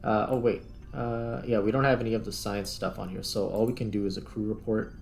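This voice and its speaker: A man's voice: lowish voice, flat delivery, a little fast, vocal fry, darkish tone, soft background music. A man giving casual, unscripted gameplay commentary, muttering step by step as he thinks aloud. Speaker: host playing and narrating a video game.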